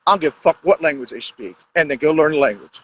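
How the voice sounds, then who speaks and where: Crude, rambling high-voiced man. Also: fast talker, fairly bright tone, a little hiss or hum. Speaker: podcast host. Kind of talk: profane rambling monologue. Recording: dull lo-fi sound.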